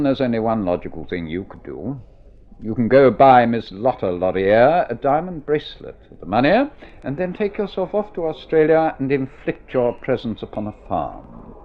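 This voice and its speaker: A man playing a dry, sardonic gentleman in a mid-century radio drama, mocking and witty. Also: mid-range voice, lightly acted. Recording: dull vintage radio recording.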